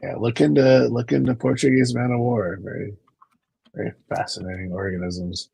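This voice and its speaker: A man's voice: medium pitch, steady tone, normal speed, gravelly, darkish tone, husky, no echo. A man chatting on a video call, rambling into stories and repeating words as he goes. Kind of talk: casual chat.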